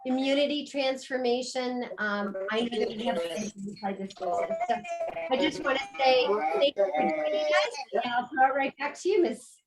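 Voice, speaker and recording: A middle-aged American woman, the co-host, tells her own story on a video call in a warm, upbeat sales style. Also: on the high side, normal speed, loud music behind the voice, low-quality recording. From United States. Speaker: co-host and business presenter. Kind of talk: business pitch on a video call.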